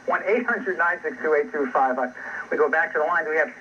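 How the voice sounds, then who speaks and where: Male TV host taking calls, stumbles; slightly high voice, muffled replayed sound. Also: fairly quick, husky. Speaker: TV news host. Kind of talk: stumbling broadcast moderation. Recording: muffled TV audio replayed on radio.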